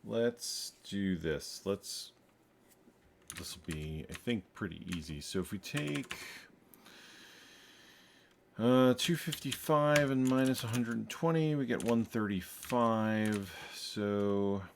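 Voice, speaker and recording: A low, gravelly male voice talks slowly, half to himself, as he works through game code. He stops, restarts and corrects himself mid-thought while he debugs. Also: slight background noise. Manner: muttering to himself, halting and self-correcting. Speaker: game programmer. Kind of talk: thinking aloud while coding.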